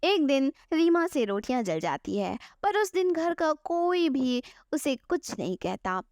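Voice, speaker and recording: A woman's voice: high-pitched, very animated, unhurried, darkish tone, no room sound, clean studio sound. A woman narrating a scripted moral bedtime story as the storyteller.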